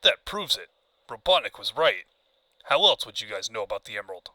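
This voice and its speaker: A man's voice: fairly low pitch, big pitch swings, brisk, pushed and bright, no room sound, heavily acted, rough recording. A man voicing a tough, suspicious male echidna guardian with a stern, threatening manner in a comic book fandub. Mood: accusing.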